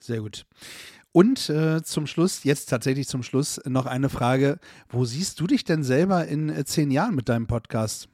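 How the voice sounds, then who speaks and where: A man's voice: mid-range voice, a little slow, a little mellow, no echo, clean studio sound. A man interviewing the host, teasing him and hesitating with fillers. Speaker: guest interviewer. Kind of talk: casual conversation.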